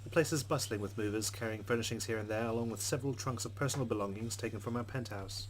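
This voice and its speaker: A man's voice: fairly low pitch, quick pace, slight background noise. A man reading a visual novel aloud as a let's player, slipping between narration and casual commentary.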